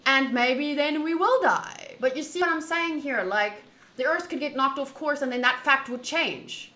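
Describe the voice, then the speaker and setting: Bright, high-voiced rambling woman streamer. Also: moderate pace. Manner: animated, opinionated, wandering, often rephrasing mid-thought. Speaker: streamer playing a visual novel. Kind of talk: casual rambling chat with viewers during a game playthrough. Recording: echoey room, faint background noise.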